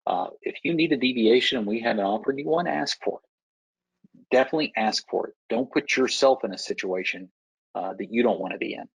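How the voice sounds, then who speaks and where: An American man working as an air traffic controller, explaining procedures in a measured, instructive way with deliberate pauses. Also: medium pitch, husky, no echo, poor audio. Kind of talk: explanatory Q&A talk to pilots.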